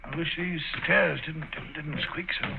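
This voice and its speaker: Fretful loyal sidekick, old-time radio drama, high pitch, muffled old recording. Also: male voice, fairly even intonation, a little slow, vocal fry, background noise. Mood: anxious. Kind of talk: 1940s radio acting.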